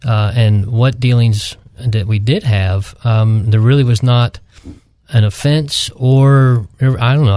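Mellow, low-voiced man explaining. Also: no room sound, studio quality. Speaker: radio panel guest. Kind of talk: conversational religious discussion.

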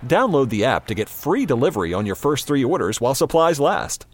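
A man reading a scripted radio advertisement in an upbeat, smooth manner. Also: mid-range voice, very animated, fairly quick, no echo. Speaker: ad announcer. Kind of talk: scripted ad read.

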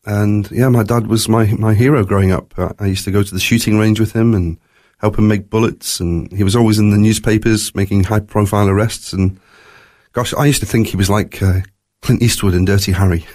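Man, low pitch, faint Scottish accent, interview guest, reflective personal storytelling. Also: steady tone, brisk, darkish tone. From born in Scotland, moved to South Africa at three.

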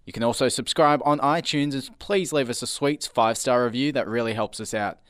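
A man's voice: mid-range voice, a little fast. A man co-hosting a casual radio show with playful, exaggerated enthusiasm. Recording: music playing behind the voice.

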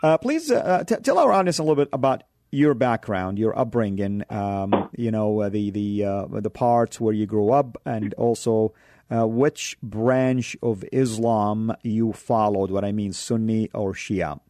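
Mellow-voiced man hosting a radio show. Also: lowish voice, no echo. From Saudi Arabia. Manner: hesitant, many filler pauses.

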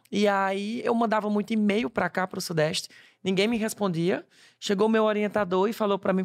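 Cearense man, twenties, gravelly, chatty guest. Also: high voice. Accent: Cearense Brazilian Portuguese. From Ceará, Brazil. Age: 20s. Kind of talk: casual conversation.

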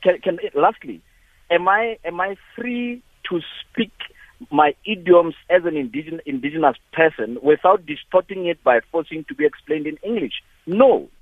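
A South African man rings in to a talk show and argues with passion, often tripping over and repeating his words. His voice sits on the high side for a man. It comes through a thin, tinny phone line with a dry sound. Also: lively intonation, normal speed, no echo. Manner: impassioned, repeats words, many restarts. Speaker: caller. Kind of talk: call-in radio comment.

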